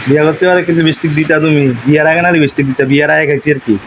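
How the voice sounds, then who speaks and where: A man's voice: on the high side, steady tone, rapid-fire, slight background noise, poor audio. A young man with an eastern Bengali dialect, chatting casually in a teasing, repetitive way.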